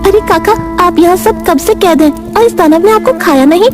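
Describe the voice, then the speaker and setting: A woman plays a brave, kind-hearted village boy in an animated storybook tale. The voice is extremely high, earnest and full of exclamation, with soft music behind it. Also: normal speed. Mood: curious. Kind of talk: Hindi animated storybook acting.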